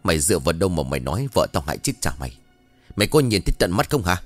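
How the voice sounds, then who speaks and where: A male narrator acts out a menacing husband guarding his late wife's honour in a horror tale. His Southern Vietnamese voice is lowish and growling, highly animated, in a dry recording. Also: fast talker, dry recording, faint music behind. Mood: outraged. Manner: growled threats and sharp challenges. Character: menacing, protective widower defending his dead wife.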